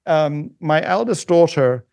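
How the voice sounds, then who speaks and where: A middle-aged man talking as a podcast guest in casual conversation, halting with frequent restarts and fillers. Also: on the high side, lively intonation, darkish tone, dry recording, clean studio sound. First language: not German.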